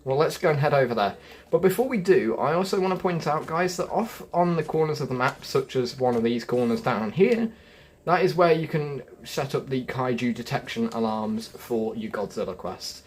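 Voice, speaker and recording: A man's voice: mid-range voice, lively intonation, moderate pace, a little hiss or hum. A young man hosting a video game walkthrough, chatty and jokey, talking straight to his viewers. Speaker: gaming video host.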